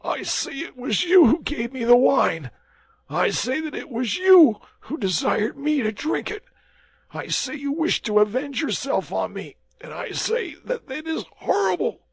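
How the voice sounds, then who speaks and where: A dying soldier shrieks and pleads in a high, strained voice well above a low natural register. The delivery is raw and swooping, recorded close and dry with no room around it. He sounds tortured and bitter, in a storybook reading. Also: very animated, measured pace, vocal fry, breathy edge, no echo, full character voice. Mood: anguished, accusing. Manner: cries out in agony, high and strained. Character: dying young male soldier, anguished, accusing.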